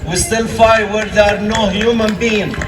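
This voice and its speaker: A man's voice: high-pitched, level tone, a little slow. Impassioned man speaking at a street rally. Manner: urgent, repetitive, broken English phrasing. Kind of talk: impassioned protest speech. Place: outdoor street rally in winter. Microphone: camera in the crowd, at a distance. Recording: echoey, distant, with loud crowd and street noise.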